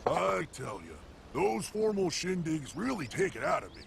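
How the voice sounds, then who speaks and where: Gravelly, folksy big warrior. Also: male voice, a little high, big pitch swings, normal speed, slight background noise, exaggerated voice. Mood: weary, jovial. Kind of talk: game cutscene acting.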